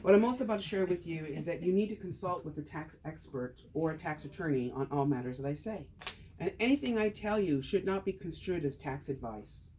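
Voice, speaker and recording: A man's voice: a little high, fairly quick, darkish tone, slight background noise. A man talking casually to a small group, then presenting on taxes with jokes and rhetorical questions. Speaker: tax advisor giving a talk.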